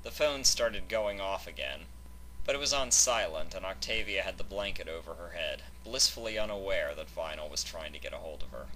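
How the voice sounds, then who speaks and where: A man's voice: sits low, expressive, fairly quick, sharp tone, a little hiss or hum, rough recording. A man reading a scripted fan fiction story aloud as its narrator.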